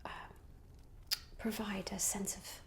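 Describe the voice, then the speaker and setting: A woman voicing a genteel, gossipy Regency lady in a soft ASMR roleplay whisper, musing politely and hesitantly. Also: mid-range voice, flat delivery, slow. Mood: polite, pondering. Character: genteel, gossipy young Regency lady planning a matchmaking guest list.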